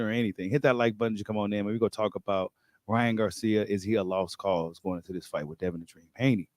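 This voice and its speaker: A man's voice: fairly low pitch, darkish tone, dry recording, studio quality. An American man hosting a boxing talk livestream, chatting casually in slangy, informal speech and repeating phrases for emphasis. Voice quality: voice getting slightly worn. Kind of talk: casual livestream chat and commentary.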